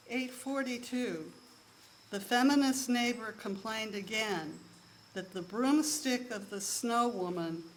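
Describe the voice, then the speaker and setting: A woman with a soft voice performing a deadpan comic monologue at a live show, in a measured, list-like delivery with timed pauses. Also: medium pitch, a little hiss or hum.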